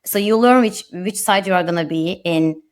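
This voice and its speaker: A woman's voice: fairly low pitch, moderate pace, clear, resonant tone. A woman in her thirties from Turkey with a Turkish accent, a guest on a podcast interview, speaking reflectively and modestly with frequent restarts.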